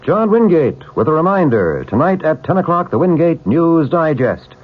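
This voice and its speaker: A male radio newsman delivers a promo in short, punchy, dramatic phrases. His pitch moves a lot, and the old broadcast sounds thin and tinny, like a telephone. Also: very animated, normal speed. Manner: clipped, punchy, dramatic questions and answers. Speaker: radio news presenter. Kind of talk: 1970s radio news promo. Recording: tinny band-limited vintage radio broadcast.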